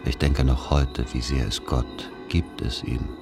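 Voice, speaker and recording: An actor playing a brooding writer, speaking a solemn, measured literary monologue in a gravelly voice. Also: male voice, extremely low pitch, steady tone, music in the background, exaggerated voice. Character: brooding male writer recalling his childhood faith. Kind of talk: literary radio-play monologue.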